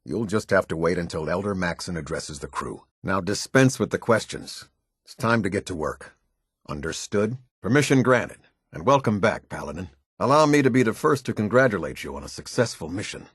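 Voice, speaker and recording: An American actor playing a stern, demanding middle-aged military officer in a video game, with clipped, formal authority and a husky voice. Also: male voice, low-pitched, lively intonation, moderate pace, no echo, clean studio sound. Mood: stern, impatient. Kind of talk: scripted game dialogue, military commander.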